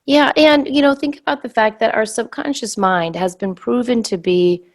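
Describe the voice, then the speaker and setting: A woman hosting a spiritual talk podcast, hoarse and scratchy from a cold, explaining things in a reflective, gentle way. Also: a little high, moderate pace. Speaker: podcast host.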